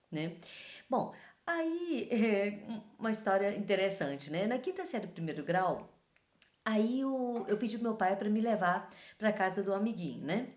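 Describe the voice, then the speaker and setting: Host, woman from Taiwan, middle pitch, chatty tag questions, reverberant room. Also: a little mellow. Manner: conversational, frequent tag questions. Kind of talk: talking-head advice talk.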